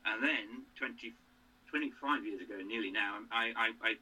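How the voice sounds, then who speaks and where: A man in his sixties from England, a guest in a casual interview, who talks haltingly with many fillers and restarts. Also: medium pitch, bright, forceful tone, husky, low-quality recording.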